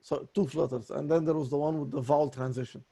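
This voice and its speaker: A man in a voice lesson with a raspy voice, talking in an apologetic, halting way with many restarts. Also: on the high side, steady tone, warm tone. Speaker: voice student. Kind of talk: voice lesson conversation.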